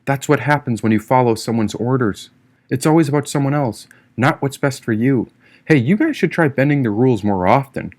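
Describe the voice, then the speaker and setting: A man's voice: medium pitch, fairly quick, darkish tone, close to an everyday voice. A man voicing a free-spirited, teasing young woman in an audiobook, with a breezy, irreverent manner.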